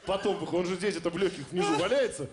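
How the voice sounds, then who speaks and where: A man's voice: high-pitched, rapid-fire, a crowd in the background. A middle-aged man co-hosting a comedy show, explaining things in a mock-serious, joking way into a handheld microphone. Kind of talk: comic explanation and banter on stage. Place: television studio stage with audience. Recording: stage mic with faint studio noise.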